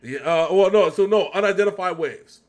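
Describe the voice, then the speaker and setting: A man's voice: high-pitched, normal speed. A man hosting a casual music reaction chat, rambling and often correcting himself as he thinks aloud. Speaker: reaction show host.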